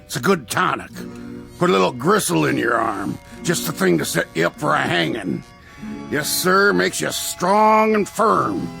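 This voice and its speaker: A gleefully cruel frontier peddler in a radio drama. His voice is high and very gravelly, swooping through slow, sing-song taunts like a salesman enjoying torment. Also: sing-song, pushed and bright, faint music behind, exaggerated voice. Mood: sly. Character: loud, gleefully cruel peddler in a Western town. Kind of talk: old-time radio drama acting.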